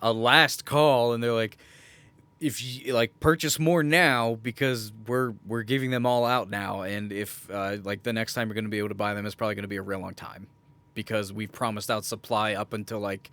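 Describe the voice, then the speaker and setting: A man's voice: on the low side, fairly even intonation, moderate pace, fairly bright tone, smooth, no room sound. Joking man chatting on a podcast, dry close sound. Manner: joking, bantering. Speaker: podcast co-host. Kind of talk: casual chat.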